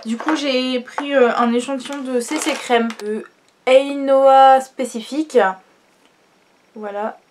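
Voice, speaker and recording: A young woman with a stuffy nose from a cold, chatting casually through a makeup tutorial with many fillers and trailing off quietly. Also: high-pitched, steady tone, slow, slight background noise. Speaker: beauty vlogger.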